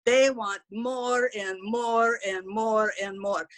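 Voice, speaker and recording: A woman's voice: a little high, fairly even intonation, slow pace. A woman voicing a brash, gossipy middle-aged housekeeper, talking bluntly in broken English. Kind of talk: character stand-up comedy.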